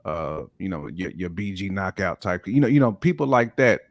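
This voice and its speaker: A man's voice: low-pitched, big pitch swings, normal speed, no room sound, studio quality. A middle-aged American man chatting informally and in slang as a panelist in a hip hop discussion. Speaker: talk show panelist.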